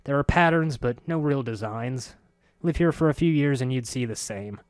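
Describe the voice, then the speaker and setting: A man's voice: medium pitch, normal speed, dry recording, studio quality, close to an everyday voice. A man reading a story plays a stern, dismissive middle-aged man who speaks in a staccato way, clipping each word.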